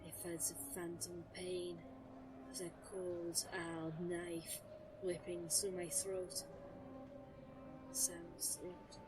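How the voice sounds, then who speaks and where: A woman playing an ancient, brooding nature sorceress who narrates an audio drama in a solemn, measured, literary manner. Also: lowish voice, level tone, rough recording. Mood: tense, haunted. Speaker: first-person narrator. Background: music.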